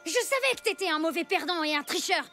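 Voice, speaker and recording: An actor playing a plucky, excitable and loyal young boy in an animated cartoon, earnest and given to exclaiming. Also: extremely high pitch, fast talker, full character voice. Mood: earnest, reproachful. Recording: loud cartoon music behind the voice. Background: cartoon music.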